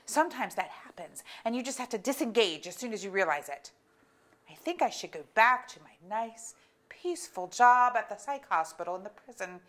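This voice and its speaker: A woman's voice: medium pitch, animated, moderate pace, fairly bright tone, lightly acted. A woman playing a blunt, irritable middle-aged wife and weary therapist in a staged play reading, with an emphatic, sardonic delivery. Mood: sardonic, exasperated.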